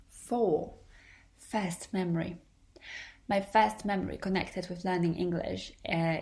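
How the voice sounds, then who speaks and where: A woman's voice: medium pitch, darkish tone. A young adult woman with a Polish accent, an English teacher talking through her solo podcast in a careful, earnest and slightly formal way.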